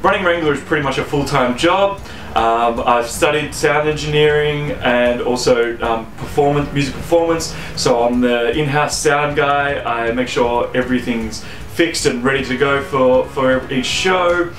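A man's voice: medium pitch, unhurried, a little hiss or hum. Man in his thirties, roomy echo. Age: 30s. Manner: relaxed, rambling with fillers and restarts. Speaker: venue founder and sound engineer. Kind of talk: casual interview. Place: venue lounge, indoors. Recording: roomy echo, faint music behind.

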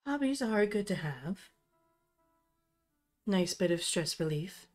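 A woman's voice: lowish voice, expressive, takes it slowly, darkish tone, faint music behind. A woman narrating an improvised tabletop roleplay scene as one of its players.

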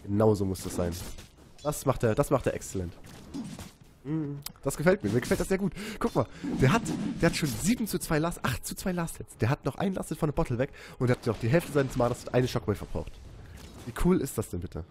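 A man from Saxony doing live commentary on a video game match, chatty and getting excited at big plays. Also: medium pitch, a little fast, background noise. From Saxony, Germany. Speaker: esports commentator.

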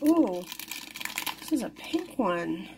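Slow-talking woman unboxing toys. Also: medium pitch, very animated, background noise. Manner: chatty, easily delighted, soft giggles. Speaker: toy unboxing vlogger. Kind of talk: casual unboxing commentary. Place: indoors at a wooden table. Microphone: camera over the table, hands-only.

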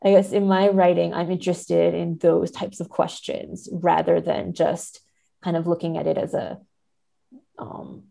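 A woman's voice: fairly low pitch, level tone, measured pace, vocal fry, warm tone. A woman speaking as a scholarly interview guest, explaining ideas in a careful, hedging academic manner. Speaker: podcast guest, literary scholar. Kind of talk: thoughtful academic interview talk.

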